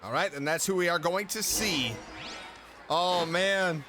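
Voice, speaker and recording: A man's voice: sits high, unhurried. A man giving casual commentary as he plays through a game. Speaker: streamer. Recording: clear game music behind. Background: game music.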